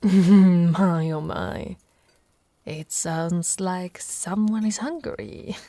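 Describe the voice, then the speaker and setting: A woman from Italy playing an affectionate, teasing female orc warrior in an intimate, soft-spoken ASMR roleplay. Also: fairly low pitch, extremely slow, dry recording. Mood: flirty, teasing. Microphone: close, dry microphone.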